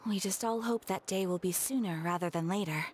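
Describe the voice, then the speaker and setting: A woman playing a calm, worried but hopeful townswoman in a video game. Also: mid-range voice, brisk, slight background noise, natural voice.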